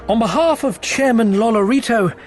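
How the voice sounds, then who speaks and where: An actor playing a slyly courteous merchant envoy in a video game cutscene, with florid, unctuous, teasingly polite speech. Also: male voice, very high voice, normal speed, soft background music. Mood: effusive welcome.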